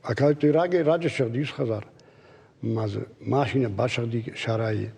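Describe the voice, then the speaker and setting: Male interview guest, raspy and creaky, plain-spoken, hall-like reverb. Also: medium pitch, moderate pace, darkish tone.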